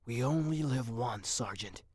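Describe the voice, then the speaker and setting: A narrator voicing a weary, nonchalant army captain in a storybook reading, with soft, careless understatement. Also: mid-range voice, measured pace, slightly rough, no room sound, studio quality.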